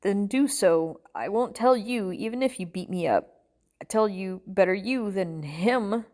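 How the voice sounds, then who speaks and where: A woman's voice: mid-range voice, lively intonation, measured pace, darkish tone, lightly acted. Woman acting scared male captive, pleading, stubborn, nervously defiant, audiobook thriller.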